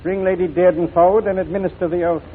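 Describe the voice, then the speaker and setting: An actor playing a stern, impartial judge, formal and authoritative, in a 1940s radio courtroom drama. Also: male voice, sits high, steady tone, fast, close to an everyday voice. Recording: dull, hissy 1940s radio broadcast.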